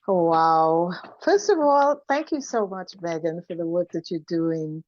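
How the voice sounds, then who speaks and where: An older woman with a Trinidadian accent, telling her life story conversationally as an interview guest, in an expressive, unhurried way. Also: on the low side, dry recording, dull audio.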